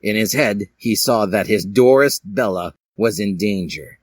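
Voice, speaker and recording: A man's voice: fairly low pitch, measured pace, no echo, clean studio sound. A man reading fan fiction aloud as a dramatic, comic storyteller with a theatrical manner. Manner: theatrical, puts on character voices.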